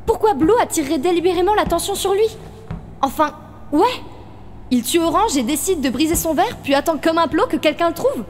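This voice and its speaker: A woman voicing a defensive astronaut crewmate who argues and questions insistently in an animated fan dub. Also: extremely high pitch, brisk, slight background noise. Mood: reasoning. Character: defensive, argumentative female astronaut crewmate, reasoning aloud.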